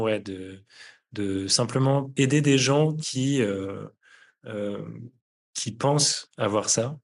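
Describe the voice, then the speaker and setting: Male podcast host, low voice, casual delivery, hesitant phrasing with frequent searching for words. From France (Nantes). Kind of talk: casual conversational podcast talk.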